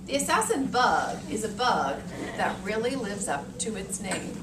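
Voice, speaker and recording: Expressive American woman teacher, echoey noisy classroom. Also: mid-range voice. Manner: deliberate, expressive, thinks aloud in simple words. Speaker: elementary school teacher. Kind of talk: interactive read-aloud to young children. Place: elementary classroom, indoors. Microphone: far camera. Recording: noisy echoey classroom, distant camera mic.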